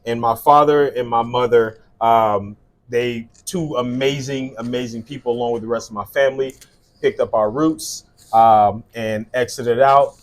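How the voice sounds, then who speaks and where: A man's voice: medium pitch, fairly even intonation, a little slow. A man in his thirties, originally from South Sudan and Liberia, telling his story as an interview guest in an earnest way with many fillers. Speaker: guest, skincare business owner. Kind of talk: casual interview conversation.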